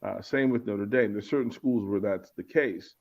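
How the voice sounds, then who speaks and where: Reflective man, muffled low-fi sound. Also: normal speed, creaky. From Arlington, Virginia. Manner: reflective, repeats words while searching for phrasing. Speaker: guest author and speaker. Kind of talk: casual interview chat. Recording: muffled lo-fi audio.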